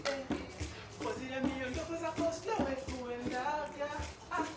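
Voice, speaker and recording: A woman singing a love song, crooning drawn-out lines that mix in Swahili verses. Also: high-pitched. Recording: echoey, distant, over loud music.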